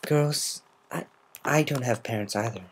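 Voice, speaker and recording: A performer voicing a tough, guarded young female cartoon pegasus pony with an awkward, halting manner. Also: medium pitch, slightly rough. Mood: guarded confession.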